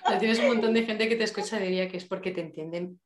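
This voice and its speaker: A woman's voice: medium pitch, racing, echoey room. A woman from Spain, a podcast guest speaking in an earnest, encouraging way. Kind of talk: casual podcast interview.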